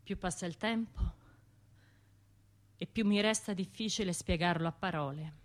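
A woman's voice: on the low side, normal speed, lightly acted. An actress playing a traumatised, self-reproaching woman survivor in a radio drama monologue, speaking in fragmented, obsessively repeated phrases. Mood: weary, haunted. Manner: fragmented, repetitive, obsessive delivery with hushed and surging phrases.

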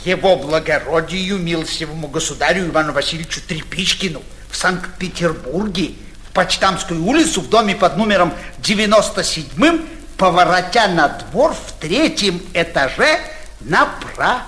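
Breezy self-important young man, high and bright. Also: animated, normal speed, slight background noise. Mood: smug, breezy. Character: breezy, self-important young idler writing to his friend. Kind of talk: 1940s Russian stage acting, letter monologue.

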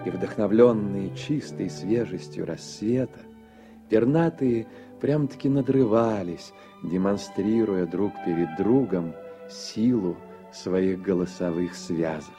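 A man narrating a literary radio drama in a measured, even storytelling manner. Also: low voice. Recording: soft music under the voice. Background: music.

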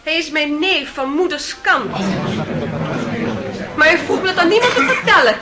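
Female candid young witness, radio play: high, teasing voice in a roomy, very noisy courtroom. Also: lively intonation, moderate pace, over a noisy crowd. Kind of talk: Dutch radio drama courtroom acting.

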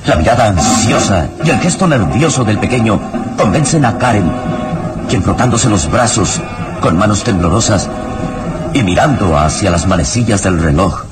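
A man narrating an old radio serial, solemn and suspenseful, with deliberate dramatic phrasing. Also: fairly low pitch, expressive. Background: loud dramatic music.

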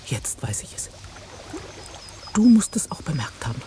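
An actress playing a challenging, teasing biologist in a German radio play, with pointed and deliberate phrasing. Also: female voice, very deep voice, very animated, warm tone, slight background noise, heavily acted. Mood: insistent. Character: teasing, challenging female biologist, old friend of journalist.

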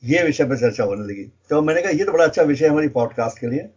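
Middle-aged Indian man, husky voice. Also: on the high side, expressive, quick pace, a little mellow. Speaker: podcast co-host. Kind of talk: casual podcast conversation. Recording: dull, lo-fi audio.

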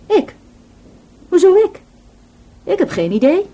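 An actress playing a frank, self-assured young student in a radio play, informal and unembarrassed. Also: female voice, very high voice, big pitch swings, slow pace, mellow, heavily acted. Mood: guarded, casual. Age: young adult.

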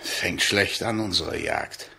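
A forceful, brooding heron hunter. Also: male voice, low-pitched, slow, vocal fry, slightly rough, exaggerated voice. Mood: brooding, sullen. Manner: terse, brooding. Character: wary, gloomy male heron hunter in a swamp. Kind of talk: German radio drama acting.

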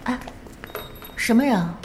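Actress as a forthright, high-voiced young woman. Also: lively intonation, a little slow, a little hiss or hum. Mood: blunt, curious. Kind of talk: radio drama acting. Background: background music.

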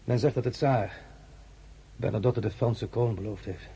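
An actor playing a commanding, weary emperor in a radio drama, halting and imperious, with a nostalgic streak. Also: male voice, on the low side, steady tone, gravelly, dark tone, slightly rough, exaggerated voice. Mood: imperious, stern. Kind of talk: dramatic radio-play acting.

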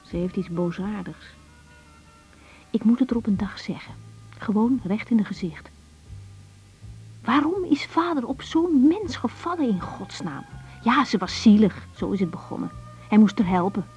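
A woman's voice: mid-range voice, very animated, moderate pace, long pauses, darkish tone, lightly acted. An actress playing an earnest, wounded young female doctor in a radio drama, emotionally open and introspective. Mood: angry, sad. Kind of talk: naturalistic radio drama acting, diary-like monologues. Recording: dull radio recording with music.

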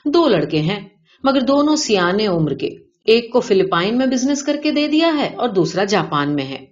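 Female reader as smug, callous middle-aged businessman, matter-of-fact bragging in a storybook, mid pitch, dull recording. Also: very animated, close to an everyday voice. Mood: smug, boastful. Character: boastful, self-satisfied middle-aged businessman with a callous streak. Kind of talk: character dialogue in audiobook reading. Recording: dull, lo-fi sound.

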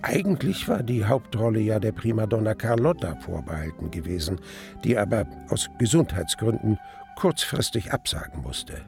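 An actor playing an old grieving man who narrates his haunted memories of a lost love in a radio play, halting and reflective. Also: lowish voice, very animated, creaky, darkish tone, faint music behind. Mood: reflective, storytelling. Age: older. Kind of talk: dramatic first-person radio play narration.

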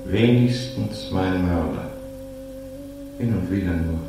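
A man acting in a 1940s radio play as a dead man haunting his murderer, solemn, halting and accusing. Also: deep voice. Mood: eerie calm. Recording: old radio recording, heavy echo, loud music.

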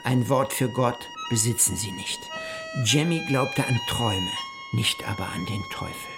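A man giving measured, formal narration of a journal in a literary radio play. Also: mid-range voice, gravelly, over loud music. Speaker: narrator reading an explorer's journal.

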